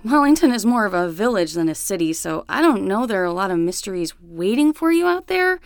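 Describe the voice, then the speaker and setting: A woman playing a patient, wry young summer nanny in a comedy audio drama, with an easygoing, gently teasing way of talking. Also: medium pitch, sing-song, fairly quick, gravelly, clean tone, dry recording, clean studio sound.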